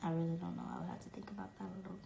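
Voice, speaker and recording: A woman's voice: fairly low pitch, little pitch movement, racing, creaky, dark tone, a little hiss or hum. Young woman, casual live-stream chatter, full of fillers. Phone mic, echoey room. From New York. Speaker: live streamer answering fan comments. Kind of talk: casual chat.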